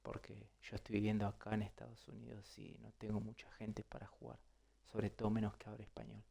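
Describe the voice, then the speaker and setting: Man, Argentine accent, Spanish native, low voice, gravelly, casual gamer chat, halting. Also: monotone, dark tone. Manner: halting, repeats words. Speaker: gamer streaming. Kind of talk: casual chat with online players.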